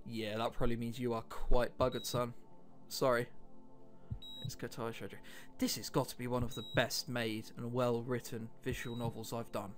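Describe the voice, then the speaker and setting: A man's voice: mid-range voice, flat delivery, moderate pace. A man reading a visual novel aloud as a let's player, adding wry, chatty asides and sometimes stumbling over his words. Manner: wry, chatty asides between read lines. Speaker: let's play host. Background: background music.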